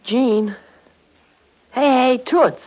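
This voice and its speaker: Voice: high-pitched, drawn-out words. A performer playing a cocky teenage twin brother in a 1930s radio soap opera, teasing in mocking, slangy talk. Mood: nosy. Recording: dull, band-limited 1930s radio broadcast.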